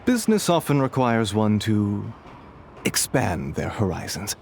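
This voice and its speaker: An actor playing a suave, scheming businessman in a video game, speaking with smooth, mocking politeness. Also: fairly low pitch, very animated, unhurried, a little mellow, slight background noise, heavily acted. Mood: smugly amused.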